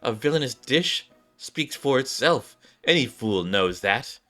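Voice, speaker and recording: Theatrical game villain, read by a man, self-important and gloating, forceful tone. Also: medium pitch, expressive, measured pace, faint music behind. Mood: pompous.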